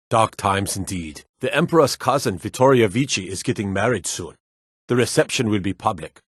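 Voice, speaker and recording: A man's voice: on the low side, breathy edge, no room sound, studio quality. An actor playing a wary, plainspoken male city guard in a fantasy video game, with a stern, folksy way of talking. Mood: somber. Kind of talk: scripted fantasy game dialogue.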